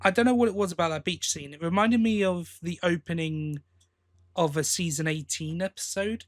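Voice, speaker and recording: A man co-hosting a podcast, giving a casual review in a halting way, thinking aloud as he goes. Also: high-pitched. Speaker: podcast co-host.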